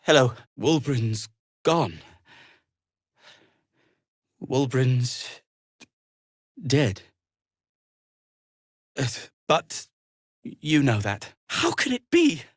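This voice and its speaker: An actor playing an anxious, devoted gnome inventor in a video game, speaking in a hesitant, politely formal way. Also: male voice, mid-range voice, very animated, very slow, pauses often, dry recording, studio quality, full character voice. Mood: grieving, defeated. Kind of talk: video game character acting.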